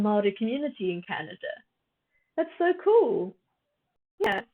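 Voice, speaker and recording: A young woman from New Zealand chatting on a livestream with a tired voice, summarizing an article and answering viewers in a chatty way with lots of fillers. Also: mid-range voice. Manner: chatty, laughs often, many fillers, answers chat as she goes. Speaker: livestream host. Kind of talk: casual chat with a live chat, summarizing a reading. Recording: phone-like thin stream audio.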